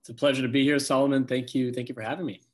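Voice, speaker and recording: A man of middle pitch speaks as a guest on a podcast. He is a rabbi from South Africa, raised in San Diego. He tells his story in a relaxed, friendly way, with casual fillers. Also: racing. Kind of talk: conversational interview.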